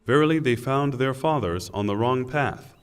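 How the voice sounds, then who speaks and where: Male reader of translated scripture, mid-pitched, solemn and deliberate phrasing, set in long hall reverb. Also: clean tone.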